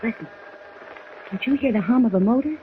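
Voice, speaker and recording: A woman's voice: fairly high pitch, a little fast, close to an everyday voice. An actress playing a young, determined woman reporter in a 1940s radio drama, speaking earnestly and urgently. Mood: curious, tense. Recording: tinny noisy 1940s radio recording.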